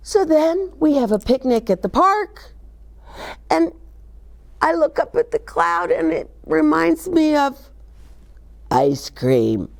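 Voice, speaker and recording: A woman's voice: high voice, very animated, slow pace, long pauses. An older woman hosting a story time, chatting expressively about picture books and putting questions directly to young listeners. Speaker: host of a children's story time. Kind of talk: read-aloud and chatty book talk for children.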